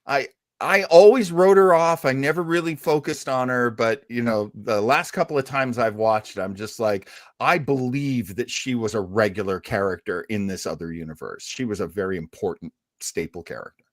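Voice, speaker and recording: A man's voice: medium pitch, normal speed, dry recording, studio quality. An older man chatting casually as a podcast host in a fan discussion, with a self-deprecating manner.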